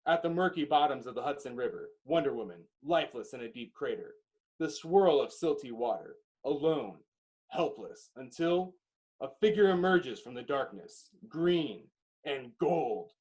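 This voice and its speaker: A man reading screenplay directions as dramatic narration, in emphatic clipped phrases with pauses. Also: medium pitch, animated, a little mellow, rough recording.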